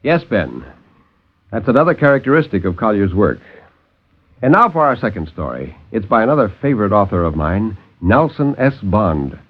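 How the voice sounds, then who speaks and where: A man's voice: fairly low pitch, big pitch swings, normal speed. A man reading a horror story theatrically, in a literary 1950s radio storytelling style, on a muffled old recording with music. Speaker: storyteller, co-host.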